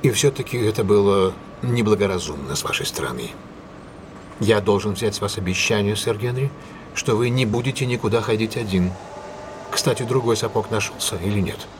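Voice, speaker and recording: A shrewd male detective played by an actor with a low voice. He is commanding and precise, setting out each point in measured audio drama style, over clear background noise. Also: lightly acted. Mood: cautioning.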